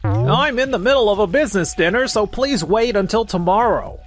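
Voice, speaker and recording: A man acts out an arrogant manager from a manga dub. His voice is high and very animated, with smug, dismissive bluster. Music plays behind him. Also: normal speed. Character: arrogant, careless male contractor manager, self-serving and dismissive. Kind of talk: scripted manga dub voice acting.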